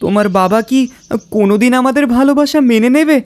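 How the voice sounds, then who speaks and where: A woman voicing a brave, lovestruck young shepherd boy in an animated fairy tale. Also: fairly high pitch, fast talker, natural voice. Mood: tender. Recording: soft music and birdsong behind.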